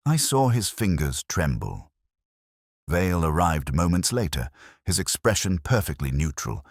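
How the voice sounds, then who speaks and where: A man narrating a scripted detective story as a brooding police inspector, in a measured, solemn, literary way. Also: a bass voice, slightly rough, no echo, clean studio sound.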